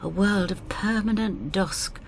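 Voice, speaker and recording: An actress playing a plucky, down-to-earth older woman who narrates her adventure in an expressive, wry first-person style. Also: mid-range voice, unhurried, slight background noise, natural voice. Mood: wry storytelling. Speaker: narrator and lead actor.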